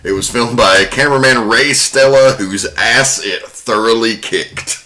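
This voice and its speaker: A man's voice: medium pitch, unhurried, sharp tone. A man co-hosting a film podcast, presenting behind-the-scenes commentary to friends. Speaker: podcast co-host. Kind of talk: prepared film trivia commentary among friends.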